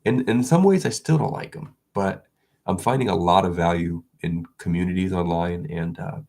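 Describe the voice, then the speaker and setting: A very low-voiced man with a gravelly, husky tone talks casually to his audience. He is congested and sniffling from an illness, and he rambles along with frequent restarts. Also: normal speed. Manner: rambling, restarts, soft chuckles. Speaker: video creator. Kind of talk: casual vlog chat.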